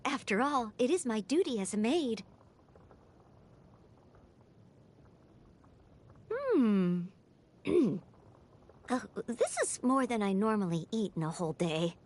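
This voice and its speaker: A woman's voice: fairly high pitch, lively intonation, a little slow, a little mellow. A woman voicing a polite, earnest young maid and aspiring knight in a video game, neatly enunciated and eager to serve. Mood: admiring, flustered. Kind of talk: scripted video game character voice lines.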